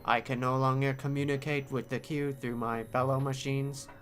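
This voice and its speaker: A person voicing an obedient, confused feline robot from a visual novel, speaking in a stilted, formal monotone. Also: medium pitch, normal speed, a little hiss or hum, natural voice. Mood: flat, disoriented. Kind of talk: visual novel read-aloud, in character.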